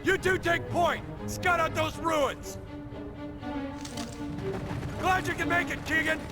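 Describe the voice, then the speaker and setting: Urgent American soldier, high strained shouting. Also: male voice, fairly even intonation, slow. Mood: commanding. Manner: barking, urgent, commanding. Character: gruff urgent American WWII airborne soldiers shouting battle orders. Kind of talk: video game combat acting. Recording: loud music and battle noise behind.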